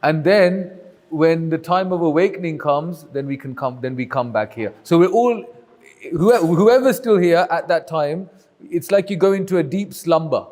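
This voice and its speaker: A man's voice: a little high, normal speed, darkish tone, in a large hall. A man teaching a group, answering questions with patient, reassuring explanations. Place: community hall.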